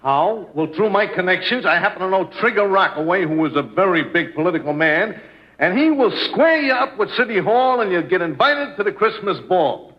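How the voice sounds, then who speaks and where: An actor playing a shady small-time political schemer, boasting in deadpan wisecracks in a vintage radio comedy. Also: male voice, sits high, a little fast. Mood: scheming. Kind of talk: 1950s radio comedy acting. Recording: muffled, slightly noisy vintage radio.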